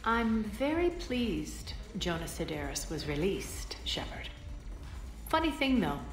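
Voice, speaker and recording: A woman playing a haughty alien crime boss in a video game, sardonic, deliberate and commanding. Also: mid-range voice, big pitch swings. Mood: smug, commanding. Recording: slightly roomy, music behind.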